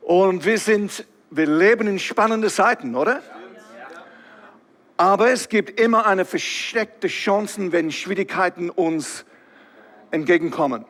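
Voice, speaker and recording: Man, English accent, guest preacher, unhurried, reverberant hall. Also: high-pitched, a bit bright. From England. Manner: slow, friendly, searching for words. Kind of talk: sermon.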